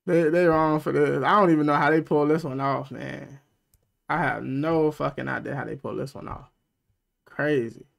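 A man's voice: fairly high pitch, fairly even intonation, moderate pace, gravelly. A man in his thirties doing casual reaction commentary, loose and slangy with laughing, swearing asides, on a headset mic in a small room. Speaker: reaction streamer.

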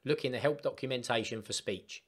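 A man presenting an informal step-by-step software tutorial in an emphatic, instructional way. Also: mid-range voice, brisk. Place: voice-over for screen recording.

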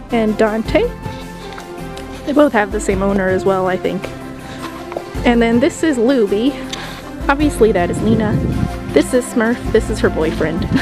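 Young woman, fairly high voice, breezy walk-and-talk tour vlogger, camera mic, music underneath. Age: young adult. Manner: chatty, upbeat, pointing things out while walking. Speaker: vlogger giving a barn tour. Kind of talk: casual walking tour narration. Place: horse barn and snowy paddocks outdoors.

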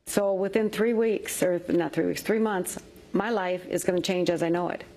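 Woman in her fifties, worker telling her story, lowish voice, plain-spoken and anxious, lapel mic in studio. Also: fairly even intonation, normal speed, warm tone. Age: 50s. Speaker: factory worker and interviewee. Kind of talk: interview answers. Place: television studio.

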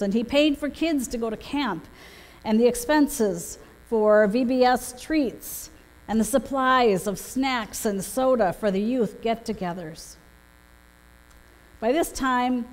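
A middle-aged woman preaches a sermon from prepared notes, telling stories as a pastor does. Her voice sits a little high. Long reverb from the church hall trails her words at the pulpit microphone. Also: darkish tone. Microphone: gooseneck pulpit microphone.

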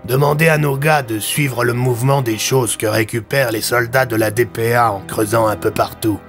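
A man playing a serious police commissioner who speaks in a formal, measured manner. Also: medium pitch, steady tone, fairly bright tone, soft background music, natural voice. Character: serious, gravelly police commissioner giving orders.

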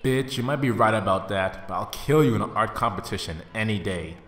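A man plays an exasperated schoolboy in an anime parody. He talks to himself with crude sarcasm and scheming asides, his pitch swinging widely. The track sounds roomy and echoey. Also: expressive, brisk, a bit bright. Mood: sardonic. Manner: sarcastic, self-talking, crude. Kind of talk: anime parody fan dub.

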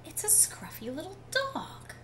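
A woman voicing a practical, gently explaining mother in a picture-book story. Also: extremely high pitch, huge pitch swings, measured pace, pitched above the performer's own voice, full character voice. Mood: reasoning. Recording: clearly noisy home webcam recording.

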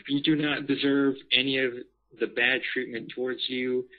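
Man, medium pitch, casual caller, muffled narrowband telephone sound. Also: flat delivery, moderate pace. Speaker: interviewer, caller. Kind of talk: casual phone chat. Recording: muffled phone line.

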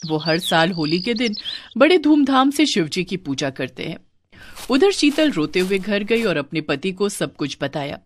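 A woman's voice: medium pitch, very animated, measured pace, a little hiss or hum. A woman narrating a scripted moral story.

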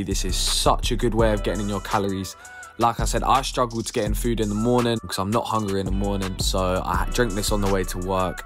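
Young male vlogger, casual and slangy, fairly low voice over background music. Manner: chatty, slangy and direct to the viewer. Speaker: fitness vlogger. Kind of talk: casual vlog talk to camera. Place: living room and kitchen at home.

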